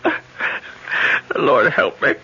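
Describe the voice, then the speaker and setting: Grief-stricken young lover in radio horror: slow, halting, very forceful and tense, muffled vintage sound. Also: male voice, exaggerated voice. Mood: anguished. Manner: halting, overwrought. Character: anguished young man grieving his undead beloved. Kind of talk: old-time radio horror acting. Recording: muffled old radio recording, faint noise.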